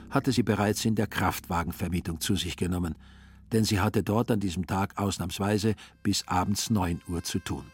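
A man reading literary narration for a radio drama in a dry, ironic, measured manner. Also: fairly low pitch.

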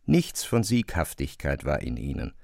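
A man's voice: very deep voice, very animated, moderate pace, gravelly, darkish tone. A man narrating literary fiction in a scripted audiobook style.

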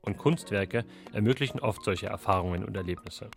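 A low-pitched man narrates a radio culture feature from a studio. He reads a script in a reflective, questioning way. A clear music bed plays under his voice throughout. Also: a little fast. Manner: reflective, poses rhetorical questions.

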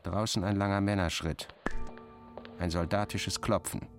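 A man narrating literary prose in the first person, wry, clipped and expressive. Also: sits low, unhurried, music in the background.

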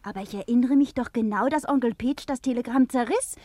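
An actress playing the affectionate, impatient wife of a roguish adventurer in a German comedy drama, expressive and coquettish, with sighing exclamations. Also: female voice, on the high side, a little fast, no echo, natural voice. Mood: indignant, eager.

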